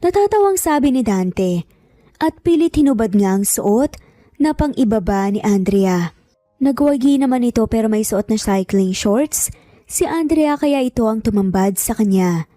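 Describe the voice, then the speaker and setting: A woman's voice: fairly high pitch, lively intonation, normal speed, dark tone, dry recording. A woman reading scripted narration for a dramatic romance story.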